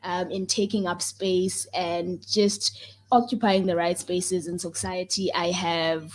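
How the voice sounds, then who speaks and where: A woman's voice: lowish voice, fairly even intonation, takes it slowly, poor audio. Playful young South African woman hosting radio. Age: young adult. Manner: playful, repeats phrases for emphasis. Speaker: radio show host. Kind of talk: lively youth radio talk show hosting.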